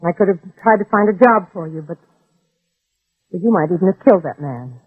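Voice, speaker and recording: On a dry, tinny old radio recording, a widowed mother with a breathy voice. She is earnest, anxious and firm, her pitch swinging widely in vintage suspense style. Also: lively intonation, fairly quick, no echo. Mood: anguished. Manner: earnest, emotional, proper. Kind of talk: 1960s radio suspense acting. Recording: thin, band-limited old radio recording.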